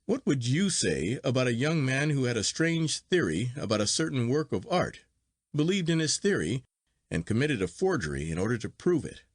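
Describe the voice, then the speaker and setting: A man voicing a melancholy, reserved gentleman of forty in a storybook reading, reflective and quietly bitter. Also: mid-range voice, sing-song, normal speed, no room sound, clean studio sound. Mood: wistful, bitter. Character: melancholy, wistful forty-year-old gentleman friend, amused and reserved. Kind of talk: acted dialogue in storybook reading.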